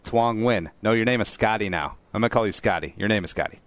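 A man's voice: lowish voice, quick pace, a bit bright, no echo. A man hosting a podcast, joking and rambling in a loose, self-deprecating chat. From Korea, raised in southeast Minnesota. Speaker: podcast host. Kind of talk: casual interview chat.

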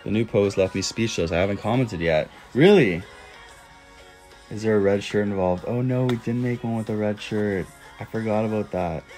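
A young man talks easily and playfully to viewers of his phone livestream, answering comments one by one. His voice sits a little low for a man. It is close to the phone's mic in a small room, with music playing faintly in the background. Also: normal speed, darkish tone. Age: young adult. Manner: playful, bursts into high owl hoots. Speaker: livestream host. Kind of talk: casual livestream chat reading comments. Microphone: phone held close.

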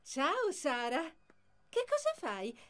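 A kind, fussy mother in a children's cartoon. Her high voice rises and falls a lot as she talks. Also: huge pitch swings, slow pace, heavily acted. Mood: surprised.

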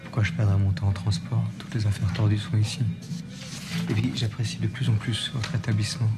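Man acting dry inquisitive prosecutor, lowish voice, deadpan, TV crime drama, loud music. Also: a little fast, natural voice. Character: fussy, allergy-ridden prosecutor, dry and inquisitive.

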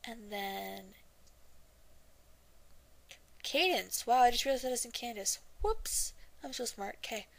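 A woman's voice: a little high, animated, takes it slowly, vocal fry, long pauses. A young woman casually introducing her amateur audition, chatty, hesitant and self-deprecating.

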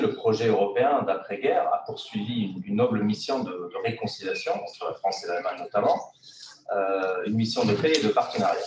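A man in his forties, a native German speaker and professor of political science, giving a measured, hesitant talk at a round table. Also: lowish voice. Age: 40s. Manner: measured, hesitant with frequent "euh". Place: meeting room with tables in a U shape. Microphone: far room camera. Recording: echoey room, distant mic, noisy.

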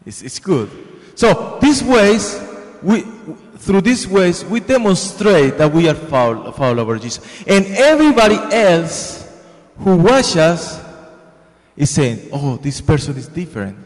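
A man's voice: fairly high pitch, big pitch swings, measured pace. A young man from Colombia with a Spanish accent, giving an earnest, repetitive homily into a handheld microphone in a church. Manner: earnest, repetitive, preaching with hand gestures. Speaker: priest. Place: large church sanctuary. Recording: long church reverb.

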